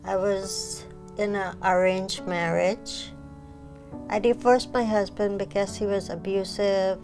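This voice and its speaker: A woman in her forties with a Guyanese accent, telling her personal story in an interview. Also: medium pitch. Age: 40s. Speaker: client sharing personal story. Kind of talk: interview testimonial. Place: small furnished living room indoors. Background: soft music.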